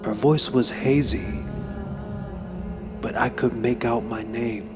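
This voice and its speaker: Slow-paced man reading horror over music. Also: mid-range voice. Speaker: narrator. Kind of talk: dramatic horror story reading. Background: background music.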